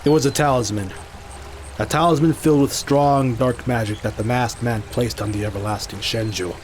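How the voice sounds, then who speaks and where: A man's voice: mid-range voice, normal speed, lightly acted. A man acts out a grave and irritable mystical warrior for an audio drama. His voice is mid-range, with faint noise in the background.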